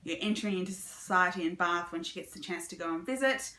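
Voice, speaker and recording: A woman's voice: fairly low pitch, fast talker, pushed and bright, roomy sound. A woman giving a chatty, casual book review as a vlogger. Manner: chatty, conversational.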